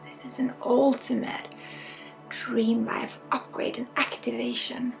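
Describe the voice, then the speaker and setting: A woman with a Swedish accent guides an ASMR energy healing at a very unhurried pace. Her soft voice sits fairly high and often drops to a whisper with a crackly edge. Faint music plays behind her. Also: flat delivery, gravelly. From Sweden. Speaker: spiritual healer and ASMR host.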